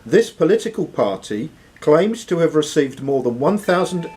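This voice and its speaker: Male quizmaster, fairly high pitch, very lively intonation, reading live quiz questions. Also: normal speed.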